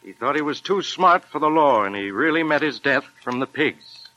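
A man's voice: on the high side, animated, moderate pace, sharp tone. A man playing a police superintendent recounting a case in scripted 1940s radio style, on a noisy old recording. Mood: matter-of-fact.

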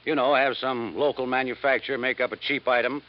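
An actor playing a brisk, matter-of-fact insurance man briefing an investigator in a 1950s radio drama. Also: mid-range voice, steady tone, close to an everyday voice. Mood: wry, explanatory. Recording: dull 1950s radio recording.

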